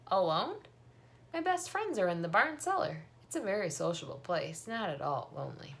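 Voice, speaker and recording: Eager little farm girl voiced by a woman, higher-pitched. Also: sing-song, normal speed, creaky. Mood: indignant. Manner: matter-of-fact, insistent. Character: eager, earnest eight-year-old farm girl.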